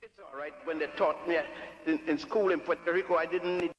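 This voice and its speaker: An older man giving a public lecture and telling his own story in a halting, earnest way, repeating words as he goes. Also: fairly high pitch, fairly even intonation. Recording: dull archival lecture tape in a large hall.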